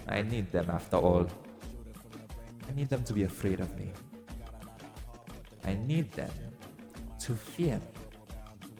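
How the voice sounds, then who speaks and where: A man playing a cruel, vengeful god in an audio roleplay, grand, deliberate and menacing as he addresses a mortal. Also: lowish voice, gravelly, mellow, full character voice. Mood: menacing, imperious. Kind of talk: theatrical audio roleplay monologue. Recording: long cavernous reverb. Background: music.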